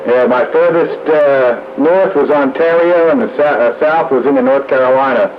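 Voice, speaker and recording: A man's voice: medium pitch, moderate pace. A man chatting casually with other operators over a two-way radio. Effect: two-way radio transmission. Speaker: radio operator in a group chat. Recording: muffled, noisy two-way radio.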